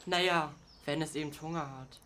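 A woman's voice: low voice, fairly even intonation, normal speed, a bit bright. A woman playing a practical, matter-of-fact parent in an amateur radio play. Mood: instructive.

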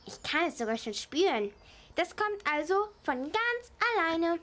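A woman's voice: extremely high pitch, very animated, unhurried, full character voice. A female performer playing a naive little kindergarten girl, innocent and matter-of-fact, in a children's radio play.

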